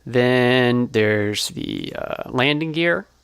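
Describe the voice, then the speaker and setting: A man presenting an informal behind-the-scenes explainer as a sound designer, speaking in a casual, halting way. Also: mid-range voice, lively intonation, gravelly, fairly bright tone, smooth, dry recording.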